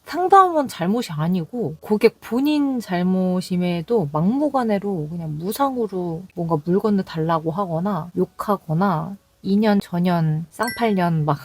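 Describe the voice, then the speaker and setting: A woman's voice: on the low side, expressive, unhurried, slightly rough. A young woman talking candidly about her call center work in a casual vlog, with a Seoul accent. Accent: Seoul Korean. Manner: chatty, candid storytelling with quoted callers. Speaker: call center agent sharing work stories.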